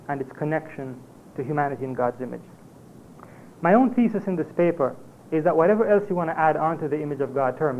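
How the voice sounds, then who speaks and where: A man with a Jamaican accent presenting an academic paper at a conference, in a measured lecturing manner with occasional hesitations. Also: on the high side. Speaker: conference speaker. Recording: tinny phone-like lo-fi recording with faint hiss.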